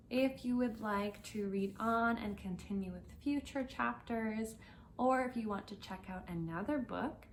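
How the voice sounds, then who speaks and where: A woman teacher reading and talking to language learners, expressive and carefully enunciated. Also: mid-range voice, a little hiss or hum. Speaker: host and reader, English teacher. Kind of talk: storybook read-aloud for language learners.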